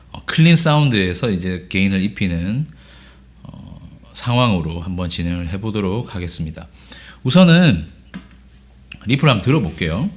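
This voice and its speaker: A man speaks in a somewhat low register, his voice hoarse from a cold. He explains guitar pedals in an unhurried, conversational way, pausing often with filler sounds as he thinks. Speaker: guitar teacher. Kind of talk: casual gear demo lesson.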